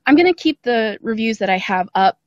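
A woman's voice: on the high side, animated, brisk, no echo. A young woman chatting casually and at length as a podcast guest, talking in a self-deprecating way. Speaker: podcast guest, audio drama creator. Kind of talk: casual interview chat. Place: dry room, calling in remotely. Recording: slightly dull, band-limited call audio.

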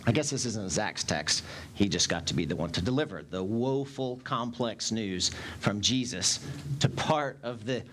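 A man in his forties, a pastor preaching a sermon in a church sanctuary on a headset mic, animated and gesturing as he speaks. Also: on the low side, normal speed, slight background noise.

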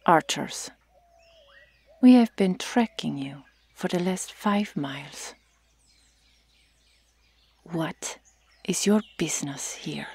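A woman voicing a stern, commanding Amazon warrior in an ASMR roleplay, with formal, solemn phrasing and breathy half-whispers. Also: on the low side, lively intonation, slow, long pauses, a little mellow, full character voice. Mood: stern, threatening.

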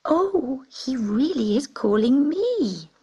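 Woman doing a high plaintive kitten boy. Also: sing-song, slow pace, warm tone, husky, no room sound, dull audio, full character voice. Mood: wondering. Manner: plaintive, wailing.

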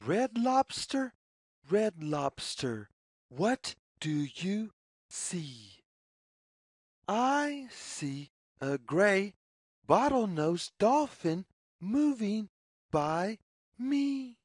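A woman reads a picture book aloud for young children at a very unhurried, deliberate pace. Her mid-pitched voice swings up and down in a sing-song pattern, with a slightly husky edge. Each phrase is set out word by word. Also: sing-song, a little mellow, no echo, clean studio sound. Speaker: story reader.

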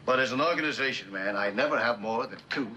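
A man's voice: mid-range voice, quick pace, sharp tone, natural voice. An American actor playing a jaded, sardonic middle-aged businessman in a 1960s television drama. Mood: weary sarcasm. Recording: noisy old TV film soundtrack.

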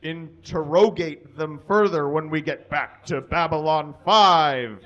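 A man reads a story aloud for comedy from a small stage, in a voice slightly higher than most men's. He delivers it deliberately, stumbling over errors as written. The live recording sounds somewhat dull and muffled. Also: fairly bright tone. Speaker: live reader. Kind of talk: comic dramatic reading of fan fiction to an audience. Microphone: stand microphone on stage, filmed from a distance.

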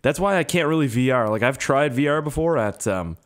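A young man with a hoarse, strained voice giving casual, profane, self-mocking commentary while playing a game. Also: medium pitch, expressive, fairly quick. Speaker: gaming YouTuber. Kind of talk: casual let's-play commentary.

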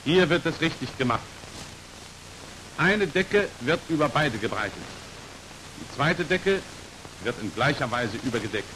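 A man's voice: mid-range voice, a little slow, long pauses. A man narrating a 1940s instructional film in a formal, precise, matter-of-fact voice, on an old hissy soundtrack. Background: steady hiss.

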